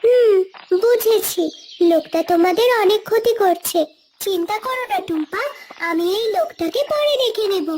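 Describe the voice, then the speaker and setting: A tiny talking hen with an extremely high voice. She is a confident, protective cartoon creature, heard with faint noise behind her. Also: expressive, moderate pace, darkish tone. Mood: determined. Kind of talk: cartoon dialogue.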